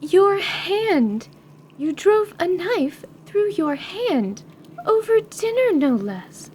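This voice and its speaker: A woman acts a prim young noblewoman from a fantasy radio play. Her voice is high and her pace is slow and deliberate, with fussy, genteel phrasing and a doting, melancholy temper. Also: sing-song, a little mellow, a little hiss or hum, full character voice. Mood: indignant. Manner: formal, genteel, fussy wording. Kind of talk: radio-theatre fantasy role-play acting.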